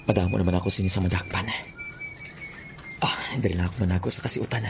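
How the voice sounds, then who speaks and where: A man's voice: deep voice, fairly even intonation, fast, gravelly, noisy background. A man with a Western Visayan Filipino accent playing an eager, greedy young bird catcher, a gleeful son, in radio drama. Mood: scheming. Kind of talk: Philippine radio drama acting.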